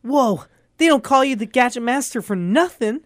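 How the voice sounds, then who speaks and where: Woman acting a high-voiced, eager young boy. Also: animated, moderate pace, no echo, studio quality, lightly acted. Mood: excited. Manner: earnest, wide-eyed.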